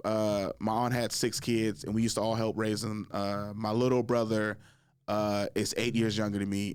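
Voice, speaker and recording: A man in his thirties hosting a casual chat, telling stories in a joking, slangy way, often laughing and jumping high in pitch when excited. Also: clear, resonant tone, dry recording, clean studio sound. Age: 30s. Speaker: podcast host.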